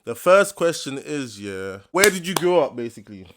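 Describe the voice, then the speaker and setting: A man's voice: on the high side, big pitch swings, moderate pace, noisy background. A young man hosting his first interview, talking in hype slang and often asking "you understand". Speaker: interviewer and channel host.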